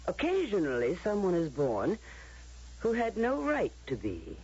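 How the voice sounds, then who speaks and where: A stern, coldly persuasive woman in authority, lecturing in a husky voice with dramatic rises and falls. It is declamatory old radio drama on a dull, lo-fi recording. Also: on the low side, wildly swooping pitch, unhurried, darkish tone, exaggerated voice. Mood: cold, grave.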